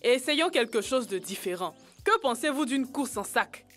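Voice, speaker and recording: A woman voicing a friendly, eager child in a French-dubbed educational cartoon, coaxing and expressive. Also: on the high side, normal speed, faint music behind.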